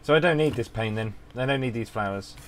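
A man's voice: medium pitch, fast, slight background noise. A middle-aged man, a father, chatting cheerfully with his son while they play a game, taking his own slip-ups with dry humor. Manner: cheerful, dryly self-mocking, easily surprised. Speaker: father and co-host playing a game. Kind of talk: casual gaming chat.

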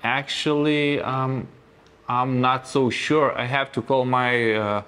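A man's voice: mid-range voice, steady tone, slow, a bit bright, clear, resonant tone. A man in his thirties with a Lithuanian accent, a production manager explaining data with many hesitant fillers. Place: factory office, indoors.

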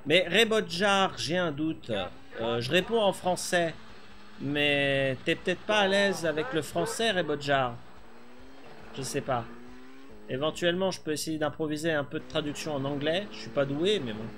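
A man's voice: a little high, normal speed, noisy background. A middle-aged man streaming a rally game from a small room, chatting casually and thinking aloud with many fillers. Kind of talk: live gameplay chat.

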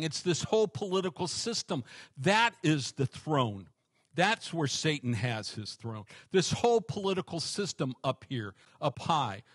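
A man in his forties, a pastor giving an animated expository sermon on a headset mic. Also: a little high, slightly rough, no echo, clean studio sound. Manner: animated, gestures a lot, varies loudness for emphasis.

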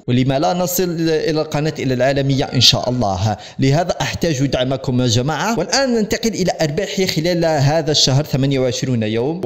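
Very fast-talking young Algerian man. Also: on the high side. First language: Algerian Arabic. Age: young adult. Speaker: gaming YouTuber. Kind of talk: direct-to-camera vlog in formal Arabic. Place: small room at home set up as a studio.

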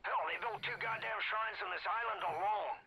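An actor playing a gruff older survivalist with a gravelly drawl, giving orders in a video game. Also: male voice, high-pitched, a little fast, sharp tone, slight background noise, exaggerated voice. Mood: instructive.

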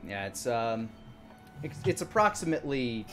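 A man's voice: medium pitch, big pitch swings, normal speed, a bit bright, a little hiss or hum. A man in his thirties narrating as game master, improvising descriptions and searching for his words. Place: indoor room. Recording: music behind the voice.

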